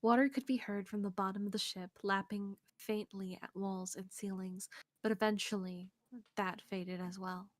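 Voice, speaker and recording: Female co-host for a visual novel stream, reading narration expressively, medium pitch, dry room. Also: fairly even intonation, normal speed, dry recording. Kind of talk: scripted narration read aloud on a game stream. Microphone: close streaming mic, dry sound.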